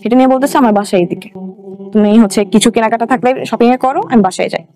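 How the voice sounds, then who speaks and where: Young woman, Bangladeshi Bengali accent, highish pitch, colloquial personal storytelling, occasional English words, soft music bed. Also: fast talker. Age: young adult. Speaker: guest telling own life story. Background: background music.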